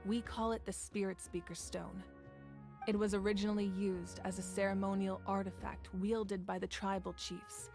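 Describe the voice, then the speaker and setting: A woman playing a calm, commanding ruler of a fire nation who speaks in a composed, measured, earnest way. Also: medium pitch, natural voice. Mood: steady. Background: game music.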